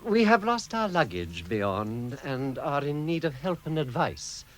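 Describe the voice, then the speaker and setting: An actor playing a courteous, wily old wizard with a theatrical, diplomatic manner in a 1960s radio drama. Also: male voice, a little high, very animated, unhurried. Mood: courteous, careful. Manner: hesitant diplomacy, throat-clearing, theatrical. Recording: vintage radio production with music.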